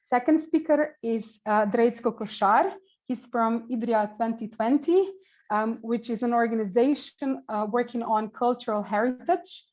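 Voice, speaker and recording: Slovenian-accented woman, thirties, fairly high voice, hesitant with many ums, video call headset. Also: moderate pace, darkish tone, rough recording. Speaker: event organizer introducing panelists. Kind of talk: panel introduction on a video call. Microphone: earphone headset mic on a video call.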